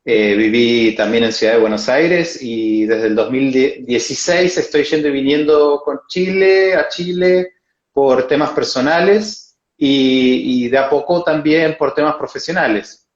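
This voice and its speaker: A man's voice: mid-range voice, a little slow. Argentine man on a muffled, roomy video call. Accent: Argentine Spanish. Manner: chatty, rambling with restarts. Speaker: academic guest. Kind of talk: casual live video chat.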